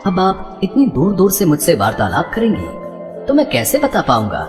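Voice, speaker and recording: A man's voice: high-pitched, wildly swooping pitch, normal speed, music in the background. A man voicing a good-natured, guileless god and fond husband in a devotional story, with formal, playfully bemused delivery. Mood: scheming, sly. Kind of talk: animated devotional story dialogue.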